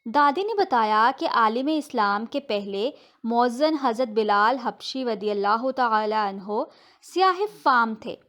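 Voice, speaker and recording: A woman's voice: on the high side, expressive, measured pace, a bit bright. A woman narrating a scripted moral story for children as a storyteller.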